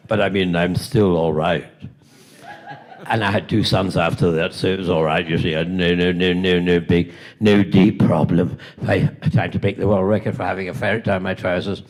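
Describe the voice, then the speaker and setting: Gravelly older British man telling stories. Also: low-pitched, fairly even intonation, normal speed. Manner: rambling anecdotal, playful, self-mocking asides. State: coughing, tickle in throat. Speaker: convention guest actor. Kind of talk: convention Q&A storytelling. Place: convention hall stage.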